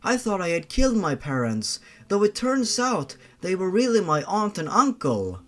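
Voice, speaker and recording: A man's voice: high voice, big pitch swings, normal speed, pitched above the performer's own voice. A man voicing a polite, composed young woman with a vengeful streak, in an audio horror story. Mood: reserved.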